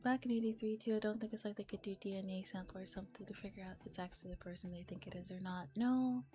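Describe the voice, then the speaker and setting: A soft-spoken woman streamer reading a visual novel aloud in an ASMR style, often dropping into whispers and adding casual comments. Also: medium pitch, fairly even intonation, fast.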